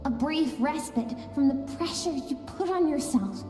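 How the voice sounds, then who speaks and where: An actress voicing an earnest, gentle young girl who speaks softly and tenderly in a game cutscene. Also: high-pitched, measured pace. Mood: pleading. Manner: soft, pleading, tender. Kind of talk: video game cutscene dialogue. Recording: echoey reverberant hall. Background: soft music.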